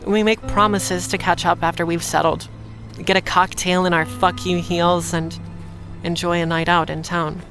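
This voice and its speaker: A woman's voice: fairly low pitch, flat delivery, moderate pace, music in the background, natural voice, poor audio. An actress playing a guarded, independent young woman drifter, speaking dryly in a noir audio drama. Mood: reflective, resolute. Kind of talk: audio drama acting with noir first-person narration.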